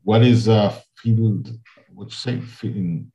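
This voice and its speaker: A man with a fairly low, mellow voice interviews a guest. He asks halting questions and acknowledges her answers briefly. The sound is muffled and echoey. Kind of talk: casual interview conversation. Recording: muffled lo-fi audio in an echoey room.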